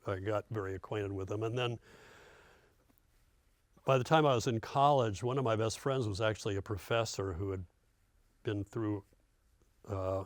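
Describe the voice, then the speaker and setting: A man's voice: on the low side, no echo. An older American man, a veteran radio host, reminisces casually with a dry, self-deprecating humour. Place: radio studio.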